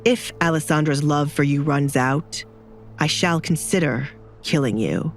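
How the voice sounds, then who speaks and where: A cold, menacing duke played by a woman in an audio drama. The voice is very low and drawn out, every word deliberate and commanding, with music clearly behind it. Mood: cold threat. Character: cold, menacing young duke, calmly threatening man.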